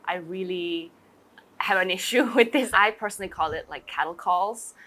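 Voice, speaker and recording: Chatty Singaporean woman in her twenties, low voice. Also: normal speed, bright, forceful tone. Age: 20s. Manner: chatty, says "like" and "you know" often. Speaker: guest. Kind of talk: casual interview chat. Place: outdoors by a pool.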